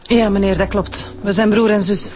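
A woman playing a brisk, practical ambulance worker. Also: medium pitch, fairly even intonation, a little mellow, a little hiss or hum, lightly acted. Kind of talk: radio drama acting. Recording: music under dialogue.

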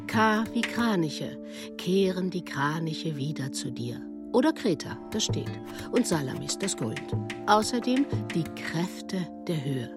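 A woman's voice: on the low side, lively intonation, unhurried. A woman expressively reciting scripted literary words and short phrases. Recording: loud instrumental music behind.

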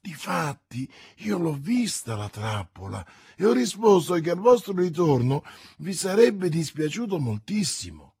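A man doing a breathless, high young servant. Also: big pitch swings, a little slow, a little mellow, slightly rough, dry recording, full character voice. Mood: eager. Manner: breathless, exclamatory. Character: excited young male manservant, fretful and eager.

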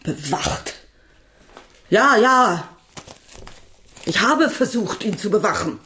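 A woman acting in a radio drama, playing a proud, weary widow with a sharp tongue and pointed delivery. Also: mid-range voice, expressive, takes it slowly. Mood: bitter, weary.

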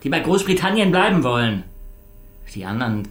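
A man's voice: mid-range voice, sing-song, fairly quick, reverberant, lightly acted. An actor playing a scornful, emphatic Northern Irish unionist man in a radio crime drama. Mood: contemptuous.

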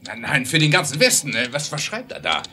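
Excitable newspaperman, wildly swooping pitch. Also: brisk, husky, full character voice. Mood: thrilled. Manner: breathless enthusiasm, stumbling. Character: excitable Wild West newspaperman scenting a sensation. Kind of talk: 1970s German radio play acting.

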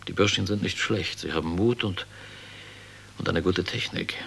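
An actor playing a wry, guarded army major in a German radio drama, speaking with patronizing irony. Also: male voice, deep voice, moderate pace, vocal fry, a bit bright, breathy edge, full character voice. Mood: measured. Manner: sardonic, condescending, chuckling at the young.

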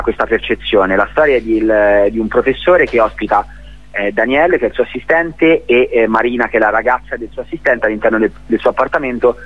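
A man from Italy giving a spontaneous radio interview as a theatre director, speaking haltingly and repeating words as he searches for phrasing. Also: on the low side, highs cut off.

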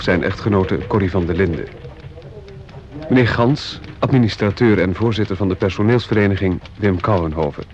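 A man reading a scripted radio play cast announcement in a formal, measured manner. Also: on the low side, a little mellow. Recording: dull lo-fi radio recording.

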